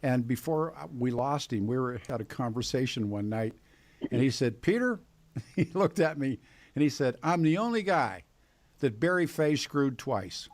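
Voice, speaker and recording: A man's voice: mid-range voice, lively intonation, moderate pace. A man in his eighties with an American accent hosting a live radio interview, chatting casually in an effusive, joking, rambling way. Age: 80s. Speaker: radio talk host.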